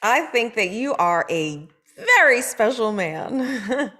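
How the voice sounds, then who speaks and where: A woman's voice: on the high side, lively intonation, takes it slowly, bright, forceful tone, heavily acted. An actress at a table read playing an awkward, hesitant young woman who writes scripts.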